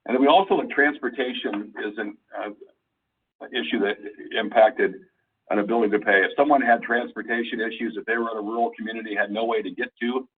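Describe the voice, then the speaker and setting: Man, low pitch, rough husky tone, halting deliberate presenter, distant phone-line sound. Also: steady tone. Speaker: program official presenting on a conference call. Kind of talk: informal slide presentation. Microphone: conference call phone line. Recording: muffled, phone-like conference call line.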